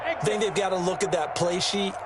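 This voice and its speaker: A man's voice: high-pitched, a little fast, very noisy, lightly acted. A man acting as a folksy football color analyst with an American accent, explaining the game in a reflective, conversational way. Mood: reflective, easygoing. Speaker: color commentator.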